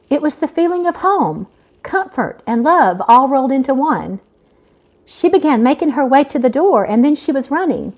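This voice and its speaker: A middle-aged woman reads fiction aloud in an informal live setting. Her voice sits fairly high and moves with very lively intonation. The delivery is expressive and unpolished, that of an amateur rather than a trained narrator. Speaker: author reading own novel aloud. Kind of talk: live amateur audiobook reading with casual asides.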